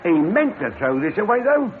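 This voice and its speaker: An actor playing a cautious, chatty station checkroom attendant in a vintage radio drama. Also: male voice, high-pitched, sing-song, normal speed. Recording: narrow-band old radio recording, faint noise.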